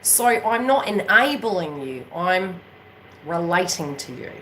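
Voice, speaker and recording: She is a New Zealand woman with a lowish, forceful, bright-edged voice. She talks off the cuff like a spiritual coach on her own channel, emphatic and meandering, often tossing in little check-in questions. A faint noise sits under the recording. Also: sing-song, slow pace, low-quality recording. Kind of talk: casual unscripted chat to viewers.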